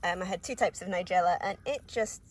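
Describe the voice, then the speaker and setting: Sniffly woman with a husky voice. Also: lowish voice, a little fast. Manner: chatty, frequent ums and small laughs. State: has a cold, a bit sniffly. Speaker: gardening vlogger. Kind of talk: casual walk-through commentary. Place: outdoors in an allotment garden.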